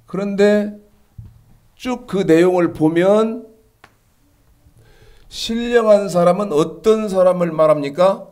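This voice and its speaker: A man in his sixties preaching a Sunday sermon, his voice stuffy and nasal from a cold, rising high and emphatic as he drives his points home. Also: a little mellow. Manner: rises to an emphatic raised pitch when preaching. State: congested with a cold. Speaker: pastor.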